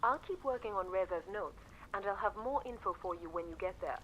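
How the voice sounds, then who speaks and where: A woman voicing a caring, quick-witted hacker friend in a game, affectionate and wry. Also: medium pitch, lively intonation, a bit bright, slight background noise, lightly acted, rough recording. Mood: affectionate, businesslike. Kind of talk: game voice acting.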